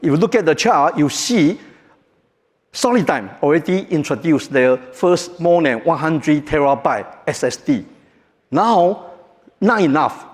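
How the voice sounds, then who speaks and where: Taiwanese-accented man in a reverberant hall. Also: a little high. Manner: joking, halting, non-native phrasing. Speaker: keynote speaker. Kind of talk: conference keynote talk. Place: large conference hall.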